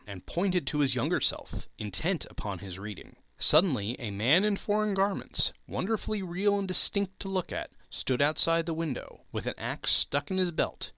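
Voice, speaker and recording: Very animated male dramatic storyteller. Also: medium pitch, creaky, no echo. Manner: theatrical, emphatic storytelling. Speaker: podcast host reading a classic story. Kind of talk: dramatic scripted narration.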